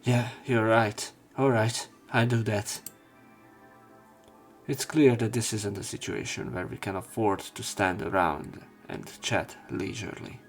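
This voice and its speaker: Low voiced guy reading out a visual novel, kinda halting, faint game music behind. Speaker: let's play narrator reading a translated visual novel. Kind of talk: scripted narration and character line reading. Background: game music, keyboard typing.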